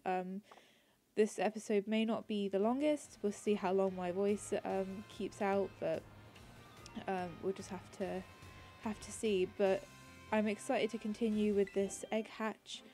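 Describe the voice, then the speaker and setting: Woman, gaming host, mellow voice, congested, hesitant rambling, faint music behind. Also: mid-range voice, steady tone, unhurried. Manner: rambling, many ums and restarts. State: congested, slightly raspy with a cold. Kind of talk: casual gameplay commentary.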